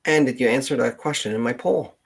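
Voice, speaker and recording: Halting Canadian man with a gravelly voice. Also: mid-range voice, a little hiss or hum. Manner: halting, meandering, thinking aloud. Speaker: livestream host, genealogist. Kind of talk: casual unscripted livestream chat.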